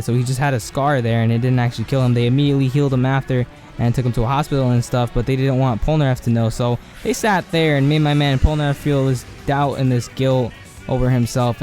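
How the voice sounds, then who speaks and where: Hoarse, rambling young-sounding man. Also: medium pitch, warm tone. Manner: rambling and slangy, heavy on filler words. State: hoarse, voice cracking. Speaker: video essay host. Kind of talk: casual anime commentary monologue.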